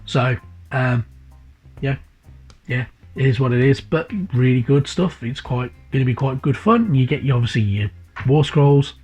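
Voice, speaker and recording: A man's voice: mid-range voice, darkish tone, husky, low-quality recording. A man with a cold and a sniffly voice, casually reviewing a hobby magazine in a rambling way, with many fillers and repeated words. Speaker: hobby channel host. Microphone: voice-over above tabletop camera.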